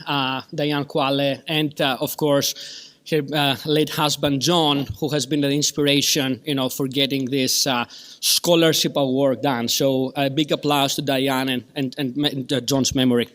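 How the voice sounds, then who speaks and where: A man's voice: mid-range voice, steady tone, normal speed, a bit bright. A man with a Greek accent chairing a conference session, giving a long, effusive introduction full of fillers and restarts. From Greece. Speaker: session chair at a scientific meeting.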